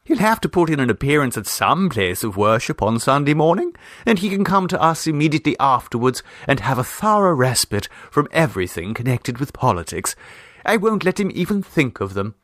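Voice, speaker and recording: A man voicing a brisk, well-to-do Edwardian wife who is bossy and fussily considerate, in an emphatic posh manner, for a short story reading. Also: mid-range voice, very animated, a bit bright, no room sound, clean studio sound, pitched above the performer's own voice.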